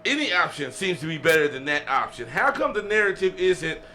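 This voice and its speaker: Bright, emphatic male podcast host. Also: fairly high pitch, very animated, brisk. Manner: emphatic, repeats phrases to press a point. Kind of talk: casual podcast discussion. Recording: faint background noise.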